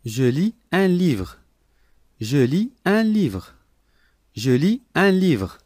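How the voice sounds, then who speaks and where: Male lesson reader: deliberate, clear, repeats each phrase, animated intonation. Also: fairly high pitch, no echo, studio quality. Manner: clear, deliberate, each sentence said three times. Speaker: language lesson voice. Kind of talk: slow repeated phrase reading for learners.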